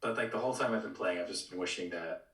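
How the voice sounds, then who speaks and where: A man chatting casually about video games as a podcast co-host, halting and tentative, often repeating his words. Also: low-pitched, fairly bright tone. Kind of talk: casual video game discussion. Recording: roomy echo, distant from mic.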